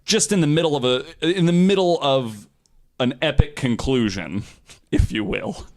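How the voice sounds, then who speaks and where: A man's voice: a little high, lively intonation, measured pace, darkish tone. A man hosting a podcast, telling a researched story in a casual, profane and theatrically emphatic way.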